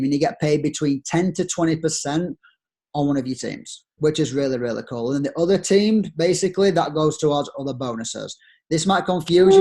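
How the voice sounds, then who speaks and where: A young man with a broad Yorkshire accent giving an upbeat, persuasive sales pitch to network marketers over a screen share, using plenty of rhetorical questions. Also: darkish tone. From Yorkshire, England.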